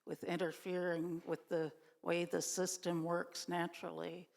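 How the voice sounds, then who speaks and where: Woman, class participant, even-toned and halting, with a gravelly creak, heard in a reverberant meeting room. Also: lowish voice. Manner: halting, pausing mid-sentence. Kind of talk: informal discussion comment.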